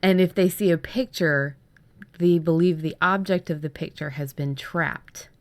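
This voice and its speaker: A woman's voice: fairly low pitch, measured pace. A woman co-hosting a writing workshop, casually chatting as she shares her story ideas. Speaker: writer and workshop co-host.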